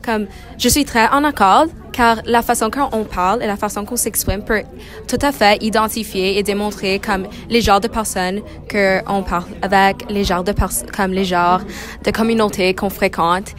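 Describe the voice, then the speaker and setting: A woman's voice: medium pitch, steady tone, normal speed. Teen girl w slight spanish accent, class discussion, lots of um, mid voice. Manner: tentative, with "um" and "comme". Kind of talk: classroom round-table discussion.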